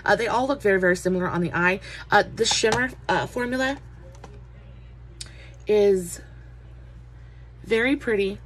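A woman's voice: medium pitch, moderate pace, pauses often. Woman, young adult, casual beauty vlogger; stuffy nasal voice, chatty with fillers, faint background noise. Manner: chatty, many "uh" fillers, friendly greetings. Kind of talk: casual makeup review.

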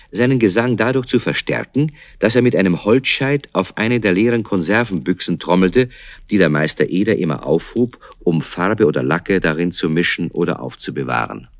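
An actor playing a kindly storyteller, narrating a scripted children's radio play. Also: male voice, low voice, no room sound, clean studio sound. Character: male storyteller, lively and kindly.

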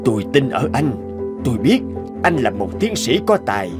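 A man playing a kindly older company chairman in a cartoon, measured and encouraging. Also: medium pitch, very animated, lightly acted. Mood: admiring. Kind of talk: Vietnamese cartoon dub acting. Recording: music under the dialogue.

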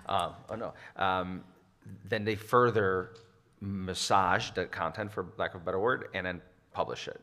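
A man's voice: sits low, unhurried, sharp tone. A man presenting at a conference, answering audience questions with many restarts and fillers. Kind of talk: Q&A after a conference talk.

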